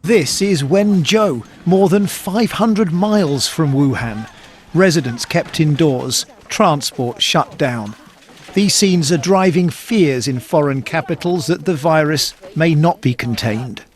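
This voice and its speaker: Higher-pitched male news correspondent. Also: animated, takes it slowly, a little hiss or hum. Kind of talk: scripted news report narration.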